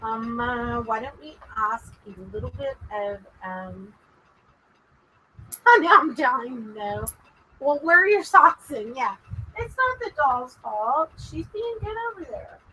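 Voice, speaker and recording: A woman's voice: fairly high pitch, very animated, slow pace, noisy background. A woman with a Texas accent hosting a casual livestream as a psychic medium, chatting and rambling to her viewers. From Texas, USA. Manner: chatty and rambling, drawling, addresses viewers as "y'all".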